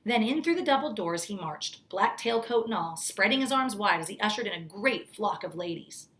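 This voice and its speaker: A woman's voice: medium pitch, expressive, moderate pace, fairly bright tone. Woman reading a children's story aloud. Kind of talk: children's story read-aloud with brief asides.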